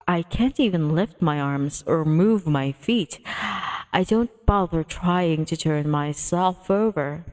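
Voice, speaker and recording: A woman's voice: deep voice, takes it slowly. A woman livestreaming a visual novel, casually reading narration aloud with a playful manner. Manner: playful, excitable in asides.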